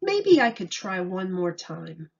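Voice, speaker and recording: A woman's voice: medium pitch, very animated, slow, vocal fry, lo-fi sound, exaggerated voice. A woman voicing a young boy turtle in a storybook, plaintive and exclaiming, easily discouraged but eager.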